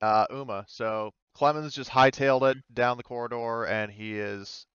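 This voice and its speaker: A man's voice: mid-range voice, steady tone, moderate pace, a bit bright, clean tone, no room sound, lo-fi sound. A man acting as game master, narrating a tabletop game casually and hesitantly as he thinks his rulings through aloud.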